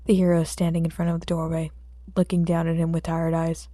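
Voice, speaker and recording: Female fiction narrator: expressive scripted read, relaxed mellow timbre, very dry close sound. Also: low voice, brisk, no room sound. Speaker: audiobook narrator.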